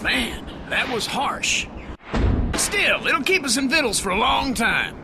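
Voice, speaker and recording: Excitable, high-voiced male sidekick. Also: slow pace, gravelly, loud music behind the voice, exaggerated voice. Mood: eager. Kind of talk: acted video game dialogue.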